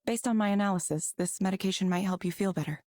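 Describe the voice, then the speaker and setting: A woman voicing a calm, polite AI counselling program in a video game, with measured, scripted delivery. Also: mid-range voice, no room sound, close to an everyday voice. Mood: calm, procedural. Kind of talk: scripted virtual assistant in a game.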